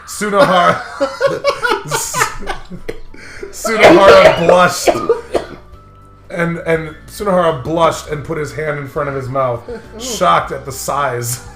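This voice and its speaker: Guy w a high voice, slow pace, giggly crude jokes over a game, echoey room, some music. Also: big pitch swings. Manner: giggly, crude joking, mock-dramatic narrating. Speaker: let's play host. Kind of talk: casual joking game commentary. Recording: roomy echo, music underneath.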